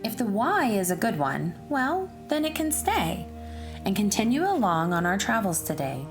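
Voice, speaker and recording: Animated woman reading a children's storybook. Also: medium pitch, over music. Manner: animated, deliberate, addressing young listeners. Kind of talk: expressive rhyming read-aloud for children.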